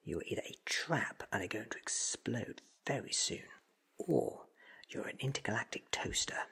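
A man's voice: medium pitch, animated, normal speed, sharp tone. A man in his thirties whispering a playful ASMR roleplay as an eccentric sci-fi doctor, muttering to himself close to the microphone. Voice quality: breathy whisper. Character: eccentric, quirky time-travelling alien doctor. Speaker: ASMR performer.

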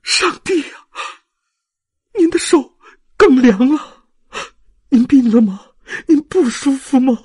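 A man voicing a distraught young woman in an audiobook, a devoted daughter pleading emotionally in a husky, tearful voice. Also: very high-pitched, expressive, takes it slowly, long pauses, a little mellow, pitched above the performer's own voice, heavily acted. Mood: alarmed, tearful. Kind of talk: dramatized audiobook reading.

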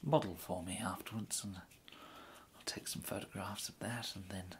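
Soft-spoken English gentleman tailor, played by a man. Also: low-pitched, fairly even intonation, unhurried. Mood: courteous, pleased. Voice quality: soft, breathy, often slipping into whisper. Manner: hesitant, courteous, murmuring. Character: polite, fussy English gentleman tailor serving a customer. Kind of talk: ASMR roleplay. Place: indoors, plain small room, close to camera.

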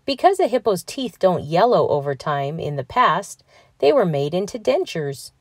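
Female children's read-aloud narrator, lower-pitched, lively intonation, clear enunciation, dry close sound. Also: moderate pace, no room sound. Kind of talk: scripted storybook narration for children.

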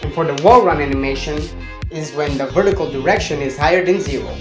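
A man's voice: a little high, fast talker. Young guy doing a coding tutorial, kinda playful, echoey room, music under him. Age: young adult. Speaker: tutorial host. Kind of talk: scripted coding tutorial narration. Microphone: handheld microphone with furry windscreen. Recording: roomy echo, music underneath. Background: background music.

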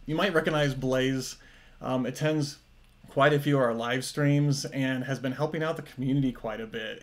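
A man in his forties hosting a live stream over a video call from a home office, upbeat and enthusiastic. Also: medium pitch. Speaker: host.

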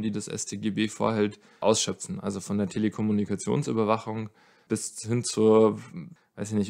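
Lower-pitched man explaining as an expert. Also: vocal fry. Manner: conversational, thinking aloud as he explains. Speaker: lawyer, expert guest. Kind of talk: explanatory podcast interview.

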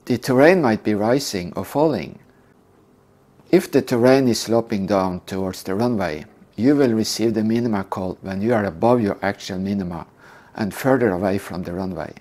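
An older man calmly teaching a scripted lesson on flying approaches. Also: fairly low pitch. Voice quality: calm, measured explanation. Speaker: flight instructor. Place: indoor room.

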